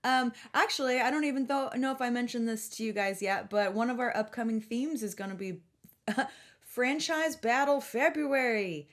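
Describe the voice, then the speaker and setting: A woman's voice: on the high side, moderate pace. A woman co-hosting a podcast in casual, comedic chat, swearing freely and acting out little quotes. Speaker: podcast co-host.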